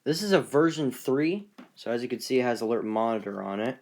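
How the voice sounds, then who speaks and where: A man with a mid-pitched voice casually shows off a collection. He speaks off the cuff and haltingly. His phrases often trail away. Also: animated, darkish tone. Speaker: collector presenting weather radios. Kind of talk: casual unscripted show-and-tell.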